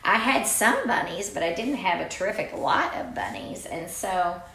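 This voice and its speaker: An older woman reading a picture book aloud to children in an informal way, with chatty, exclaiming asides and drawn-out emphasis. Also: mid-range voice, expressive, sharp tone, reverberant, low-quality recording.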